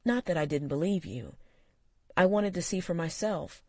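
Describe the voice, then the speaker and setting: A narrator voicing an anxious young woman who talks in a quick, nervous, earnest way. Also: medium pitch, expressive, a little mellow, dry recording, clean studio sound.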